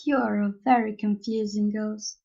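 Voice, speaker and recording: A woman playing a skeptical, dismissive mortal in a motion comic, with a husky voice. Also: mid-range voice, little pitch movement, normal speed, mellow, dull audio. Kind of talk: scripted motion comic dialogue.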